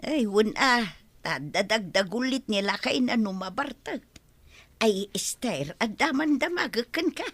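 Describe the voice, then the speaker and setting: A woman's voice: a little high, lively intonation, measured pace, a bit bright, no room sound. A woman playing a chatty, gossip-loving older neighbour with a confiding manner and an Ilocano accent in a radio drama. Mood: gossipy. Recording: noticeable background noise.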